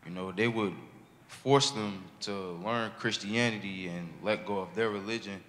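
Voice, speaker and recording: A man's voice: on the low side, steady tone, moderate pace, fairly bright tone. A young man speaking thoughtfully in a group discussion. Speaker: discussion participant. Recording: large hall reverb, faint noise.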